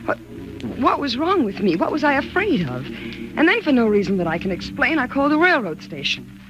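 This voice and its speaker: An actress in a 1940s radio thriller playing a frightened, anxious wife, with a dramatic, halting delivery. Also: female voice, sits high, sing-song, dull audio, over music. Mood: troubled. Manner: dramatic, halting and stammering when afraid. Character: frightened, anxious wife narrating her growing dread. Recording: noisy old 1940s radio recording.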